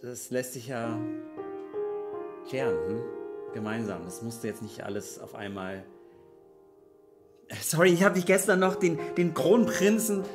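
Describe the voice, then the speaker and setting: A man's voice: medium pitch, lively intonation, unhurried, pauses often, loud music behind the voice, natural voice. A man playing a concerned best friend in an improvised radio play on stage, insistent and reassuring. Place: small curtained theatre stage. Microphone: stand microphone.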